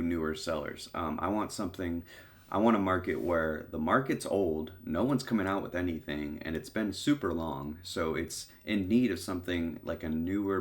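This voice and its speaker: A young man with a stuffy, congested voice casually explaining his method in a tutorial, with lots of "um"s. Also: deep voice. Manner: relaxed, filler-heavy explaining with many "um"s. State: congested from a cold. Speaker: online seller teaching product research. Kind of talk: casual screen-recorded tutorial.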